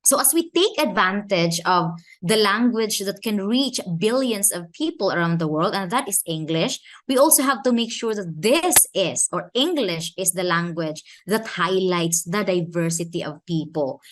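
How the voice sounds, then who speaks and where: A woman from the Philippines with a Filipino accent, giving an academic conference talk in a measured way and slowing down to stress key phrases and questions. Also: mid-range voice, lively intonation. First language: Filipino language. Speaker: conference speaker.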